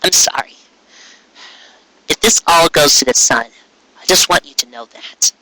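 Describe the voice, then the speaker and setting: A man acts out a proud young unicorn mare from a storybook, stubborn and dramatic. The voice is raised and very bright, with a pleading edge. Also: fairly high pitch, a little slow, rough recording. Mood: solemn apology.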